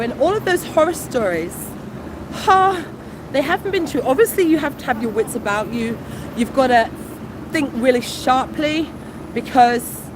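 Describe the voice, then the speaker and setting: A British woman in her forties chatting casually and excitedly on a phone livestream while out on a city street, breaking into exclamations between her thoughts. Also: high voice. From United Kingdom. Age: 40s. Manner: chatty, exclamatory, warm asides. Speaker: vlogger. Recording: street traffic noise behind. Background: passing traffic.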